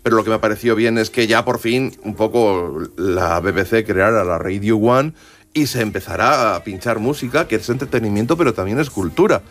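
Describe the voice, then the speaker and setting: A man's voice: lowish voice, normal speed. A man with an accent from Spain chatting informally as a radio music presenter, telling stories in a digressive, jokey, enthusiastic way. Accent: Spanish from Spain. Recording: music behind the voice.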